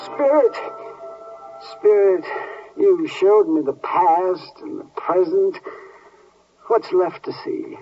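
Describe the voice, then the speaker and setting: An actor playing an excitable old miser rancher in a 1950s radio drama, with an American accent, folksy Western speech and a husky voice. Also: male voice, high voice, slow, pauses often, faint music behind. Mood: frightened pleading. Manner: exclamatory, repeating words, folksy Western speech. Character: excitable old male miser rancher turned joyful and generous. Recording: muffled old radio, distant mic.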